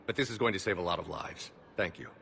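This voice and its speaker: Dutiful game medic, earnest, low and forceful, brisk pace. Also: male voice, vocal fry, exaggerated voice. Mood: earnest, relieved. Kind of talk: video game voice acting.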